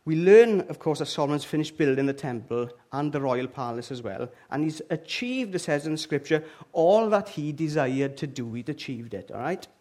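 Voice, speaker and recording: Welsh man, middle-aged, church speaker, chatty and funny, slightly high voice. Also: lively intonation, moderate pace, hardly any pauses, darkish tone. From Wales. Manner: chatty and humorous, often checks in with "okay?" and "all right?". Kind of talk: lively, joking sermon to a live congregation. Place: church hall with an audience.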